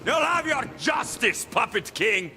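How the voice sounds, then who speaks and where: An actor playing a bold, defiant young Spartan warrior son in a video game. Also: male voice, extremely high pitch, sing-song, measured pace, pushed and bright, long reverb tail, soft background music, exaggerated voice. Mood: furious.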